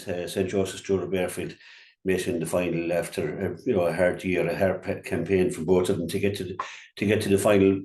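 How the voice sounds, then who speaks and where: An Irish man giving an unscripted sports match review as a radio pundit, halting and repeating his words as he goes. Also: low voice, a little mellow. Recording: roomy, echoey sound.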